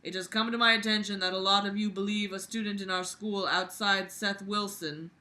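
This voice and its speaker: A woman's voice: medium pitch, fairly even intonation, brisk, bright, forceful tone, close to an everyday voice. A woman voicing a stern, authoritative male school principal from a novel, in a commanding, declamatory manner. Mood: stern, announcing.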